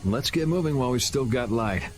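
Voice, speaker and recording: A man's voice: mid-range voice, lively intonation, brisk, natural voice. Man as a cool, wry young hero. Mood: dryly teasing. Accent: American. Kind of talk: anime video game dub acting.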